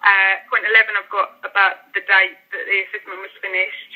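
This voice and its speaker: A man with a distinctly high voice works through a phone conversation as a social worker. He explains things procedurally, with halting phrasing. The line is dull and lo-fi. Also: little pitch movement.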